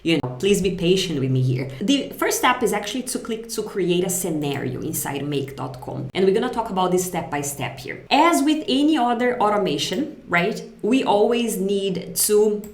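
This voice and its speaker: A woman from Brazil with a Brazilian accent and Portuguese as her first language, presenting a casual step-by-step software tutorial in a conversational, explanatory way, often checking in with the viewer. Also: medium pitch, sing-song, normal speed, few pauses, reverberant.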